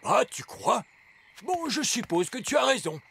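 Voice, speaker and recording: An actor playing a pompous old elf teacher and conjurer in a children's cartoon dub, theatrical and declamatory, with a gravelly voice. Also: medium pitch, big pitch swings, measured pace. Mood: grudging.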